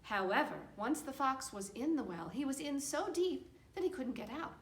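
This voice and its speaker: A woman's voice: high-pitched, big pitch swings, normal speed, a little hiss or hum. A woman telling stories expressively and simply, as a puppeteer for young children. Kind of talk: storytelling for a children's puppet show. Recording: echoey room.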